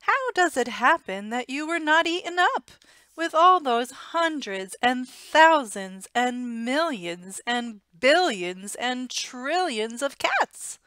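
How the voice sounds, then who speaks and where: A woman voicing a gentle, very old man from a picture book, wondering and emphatic. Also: high-pitched, very animated, slow, clear, resonant tone, no echo, voice pushed up, full character voice. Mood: tender wonder.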